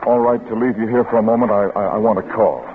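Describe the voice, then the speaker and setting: A man's voice: fairly low pitch, fast talker, natural voice. An actor playing a bitter, brooding bereaved father, tense and narrating in a 1950s radio drama on a muffled old recording with music. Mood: anxious. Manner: tense, brooding, stammers in dialogue.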